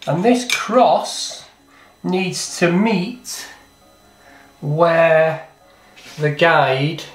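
Slow-talking man demonstrating in an echoey workshop. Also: on the high side. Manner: plain, practical, self-deprecating, thinks aloud while working. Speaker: plumbing and gas instructor. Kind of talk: hands-on step-by-step demonstration. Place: small training workshop, indoors. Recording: echoey workshop with faint clatter.

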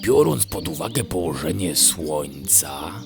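A man's voice: sits low, big pitch swings, unhurried, creaky, over music, heavily acted. A man voicing a dim, cheerful young fox in a children's bedtime story, drawling hesitantly with thoughtful hums. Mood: pondering. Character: dim, slow-thinking, cheerful young male fox cousin.

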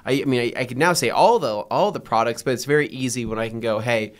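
A man in his thirties co-hosting a studio podcast, talking in a rambling, jokey and animated way. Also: mid-range voice, fast talker. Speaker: podcast co-host. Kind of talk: casual chat. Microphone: desk broadcast mic.

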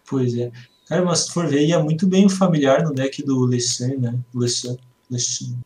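A man's voice: mid-range voice, steady tone, moderate pace, a little mellow. A man in his thirties with a Brazilian accent, co-commentating in casual conversation. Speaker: stream co-commentator. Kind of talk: casual chat. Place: indoor room at home, on video call. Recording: roomy, echoing, noisy home microphone.

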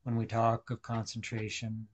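A man leading a guided meditation, speaking deliberately in short instructional phrases with pauses. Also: on the low side, completely flat delivery, muffled recording.

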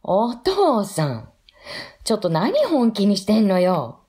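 An actress playing a brisk, teasing woman of a household, with a Kansai accent, in a radio drama. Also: medium pitch, wildly swooping pitch, mellow.

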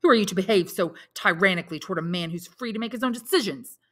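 A woman's voice: medium pitch, fast talker, fairly bright tone, close to an everyday voice. A woman voicing a passionate, despairing young man in a storybook, with declamatory, wounded and defiant outbursts. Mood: bitter defiance.

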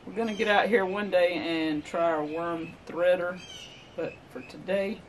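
A middle-aged woman with a Southern US accent, chatting excitedly while fishing from a pond dock, recorded outdoors on a camera. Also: lowish voice, measured pace, slight background noise, low-quality recording. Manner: chatty, excited running commentary. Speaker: homestead channel host. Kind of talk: casual chat while fishing.

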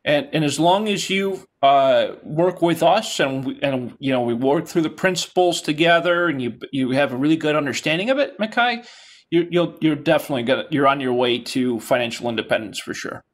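A middle-aged man leads a class over a webcam call from a home office. His voice sits a little high, and he talks in an encouraging, loose way, often repeating words as he restarts. The sound is plain laptop-call audio. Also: brisk. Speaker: instructor. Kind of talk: casual class video call. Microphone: video call webcam.

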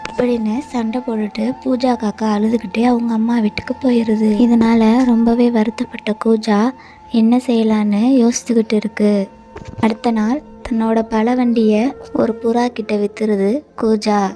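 A woman narrating a cartoon story in an even, storytelling manner. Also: a little high, moderate pace. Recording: light music bed.

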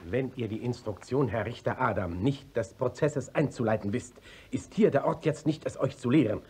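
An actor in a 1950s radio play voicing a stern, formal visiting court inspector, husky, reproving and used to command. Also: male voice, mid-range voice, normal speed, a little mellow.

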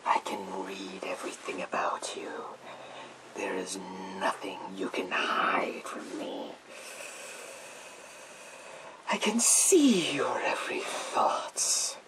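A man's voice: deep voice, huge pitch swings, heavily acted, rough recording. Man doing a creepy whispering psychic villain. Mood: eerie, menacing. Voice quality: whispered, breathy and creaky. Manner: theatrical, drawn-out, eerie. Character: menacing male psychic villain in a gas mask. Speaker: ASMR roleplayer. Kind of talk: ASMR roleplay, slow theatrical whisper. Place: small indoor room with bookshelves.

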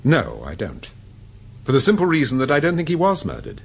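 Suave english gentleman detective, polite probing questions, very lively pitch, slightly husky. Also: heavily acted. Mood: calm probing. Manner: courteous, questioning, measured. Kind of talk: vintage-style radio drama acting.

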